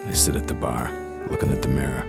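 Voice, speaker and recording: A man's voice: very deep voice, completely flat delivery, fast talker, vocal fry, heavily acted. An actor playing a world-weary barfly who tells his tale in hardboiled radio drama style, laconic and musing. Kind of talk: radio drama narration, hardboiled first person. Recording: loud music bed behind the voice.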